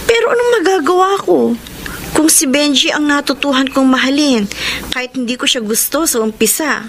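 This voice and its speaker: A woman's voice: high-pitched, lively intonation, normal speed. An actress playing a humble, wistful young single mother in a Filipino radio drama, with sincere, emotional delivery. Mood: earnest. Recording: noisy radio drama broadcast sound.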